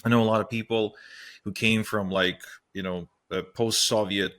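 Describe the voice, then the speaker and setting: A man's voice: on the low side, fairly even intonation, moderate pace, a bit bright. A man in his thirties from Ukraine, raised in Israel, hosting a casual interview in a chatty way. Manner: chatty, many fillers and restarts. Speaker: podcast host.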